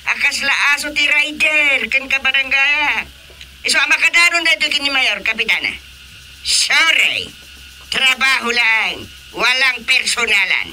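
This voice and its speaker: A man's voice: extremely high pitch, lively intonation, unhurried, sharp tone, a little hiss or hum, full character voice, rough recording. A man with an Ilocano accent playing an indignant, emphatic village chairman in a radio comedy drama. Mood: agitated complaint. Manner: emphatic, complaining. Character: indignant male village chairman lodging a grievance.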